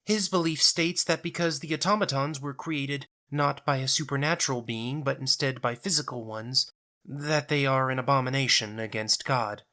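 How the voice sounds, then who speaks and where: A man voicing a gentle, petite, devout woman in an audio drama, speaking politely and formally. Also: mid-range voice, normal speed, voice pushed up, lightly acted. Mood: earnest, restrained.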